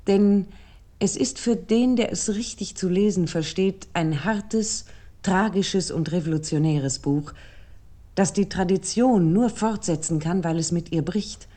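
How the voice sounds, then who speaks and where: A thoughtful essayist in a radio play, with a low-pitched voice and a dark, soft tone. She reads scripted literary prose formally and deliberately, setting out each thought with measured seriousness. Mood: measured, serious. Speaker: narrator.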